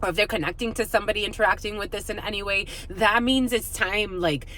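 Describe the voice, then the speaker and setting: Casting: female spiritual reader, medium-pitched voice, improvised and casual, rambling, circles back over phrases. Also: fast, fairly bright tone. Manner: rambling, frequent "like", repeats phrases. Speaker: spiritual reader hosting online readings. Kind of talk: improvised intuitive psychic reading, casual.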